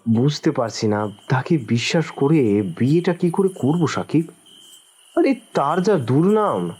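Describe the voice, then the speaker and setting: Husky, anxious suitor played by a man. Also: a little high, expressive, a little slow, a little mellow. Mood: torn, anxious. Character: lovestruck anxious young man, torn and hesitant. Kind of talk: audio drama dialogue.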